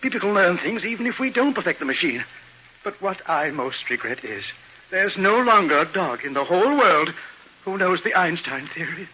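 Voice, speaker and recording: Fussy professor, high swooping voice. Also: male voice, very animated, moderate pace. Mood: dismayed. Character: fussy, regretful, high-strung male science professor. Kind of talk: 1950s radio drama acting. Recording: dull, noisy vintage radio recording.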